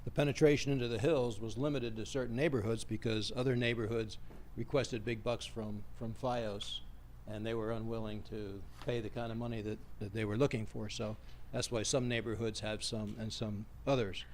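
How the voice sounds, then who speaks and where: A man's voice: on the low side, steady tone, moderate pace, few pauses. A man presenting a report at a public meeting and explaining things informally. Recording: faint background noise in meeting room.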